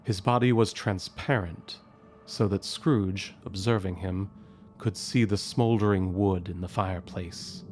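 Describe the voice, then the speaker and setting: An actor as a measured, grave narrator of an audio drama, telling the story with suspense. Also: male voice, low-pitched, steady tone, darkish tone. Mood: suspenseful, grave. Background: music.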